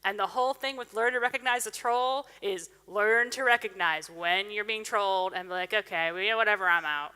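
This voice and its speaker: Brief: woman conference speaker, bright crisp voice, animated off-the-cuff Q&A, heard in a big echoing hall. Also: medium pitch, fairly quick, few pauses. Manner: animated, chatty, many restarts. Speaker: conference speaker taking questions. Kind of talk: casual Q&A after a talk. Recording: reverberant hall sound.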